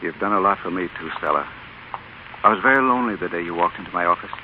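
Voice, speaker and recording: An actor playing a courteous, lonely young executive in a gentle 1930s radio drama style, on a scratchy old broadcast. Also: male voice, low voice, fast talker, phone-quality sound, close to an everyday voice. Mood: subdued, confiding.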